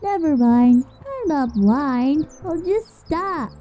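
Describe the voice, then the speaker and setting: A woman voicing a cheerful, scatterbrained female pegasus mail carrier in a squeaky, goofy cartoon voice for a storybook reading, with music behind. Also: lively intonation, slow pace, mellow.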